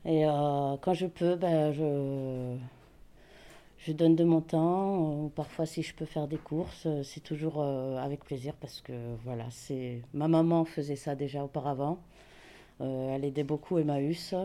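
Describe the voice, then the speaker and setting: A woman from Paris talks in a rather low, warm and mellow voice. She is a volunteer cook answering questions, and she hesitates often and pauses to find her words. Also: fairly even intonation. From Paris, France. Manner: hesitant, many pauses and fillers. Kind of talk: casual interview answers.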